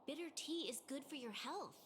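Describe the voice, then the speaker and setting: A woman voicing a calm, philosophical young woman in a video game, with formal, wry, measured phrasing. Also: sits high, slight background noise. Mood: serene. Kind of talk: video game dialogue acting.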